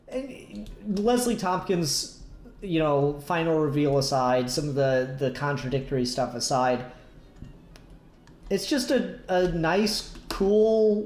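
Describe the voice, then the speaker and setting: A man chatting casually and excitably as a podcast co-host, swearing freely and informally. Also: high-pitched, slow pace. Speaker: podcast co-host reviewing comics. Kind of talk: casual chat. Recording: roomy, echoey voice recording.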